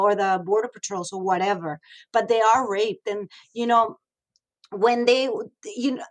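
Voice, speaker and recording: A woman in her thirties, originally from Mexico, talking frankly in a casual interview, with many fillers and restarts. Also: mid-range voice. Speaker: guest, radio host and model.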